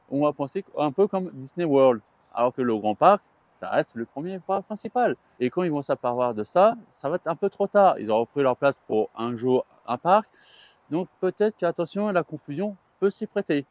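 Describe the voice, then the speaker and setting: A man's voice: fairly high pitch, big pitch swings, moderate pace, a little mellow, no room sound. A man co-hosting a chatty vlog, talking in a playful, joking way. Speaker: vlog co-host. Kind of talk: chatty theme park news vlog.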